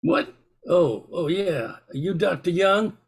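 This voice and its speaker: A man acting a dim-witted gangster henchman in his thirties, halting and slow-witted, in an amateur radio drama. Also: high-pitched, expressive, husky, full character voice. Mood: dopey.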